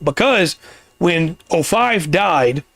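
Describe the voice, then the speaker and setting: Gravelly man explaining at length. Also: on the high side, expressive, fairly bright tone, dry recording. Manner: explains at length, pauses and restarts. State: voice getting worn. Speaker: podcast host. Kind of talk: casual podcast explainer.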